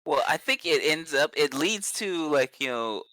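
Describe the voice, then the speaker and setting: A man's voice: fairly high pitch, expressive, unhurried, pushed and bright, no room sound, poor audio. A young man co-hosting a casual anime review podcast, enthusiastic and rambling, with lots of fillers. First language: not Japanese; speaks English. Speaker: podcast co-host.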